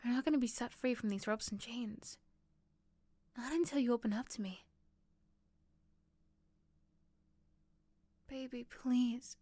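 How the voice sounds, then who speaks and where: Woman acting a high-voiced, possessive yandere girlfriend. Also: normal speed, no echo, close to an everyday voice. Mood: possessive, pleading. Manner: soft coaxing, breathy pleading, pet names. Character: possessive yandere girlfriend, tender yet desperately controlling. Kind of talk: ASMR roleplay.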